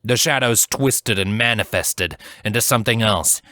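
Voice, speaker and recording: A man narrating a dramatic fantasy story from a script in a measured, solemn storytelling manner. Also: on the low side, sharp tone, dry recording, clean studio sound. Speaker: audiobook narrator.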